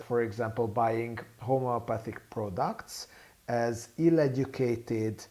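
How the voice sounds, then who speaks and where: Slow, halting man with Hungarian native tongue. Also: medium pitch, darkish tone. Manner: measured, halting with many fillers. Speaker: guest author. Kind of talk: podcast interview.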